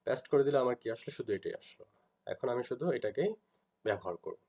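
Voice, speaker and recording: A man with a Bangladeshi accent giving an informal software tutorial, explaining step by step and repeating himself as he teaches. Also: medium pitch, lively intonation, brisk, creaky, breathy edge.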